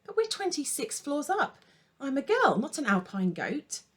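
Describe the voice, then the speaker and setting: A woman's voice: high-pitched, wildly swooping pitch, moderate pace, voice pushed up. A woman voicing an astonished young girl who questions and protests, the heroine of a children's storybook. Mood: incredulous. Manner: incredulous, protesting.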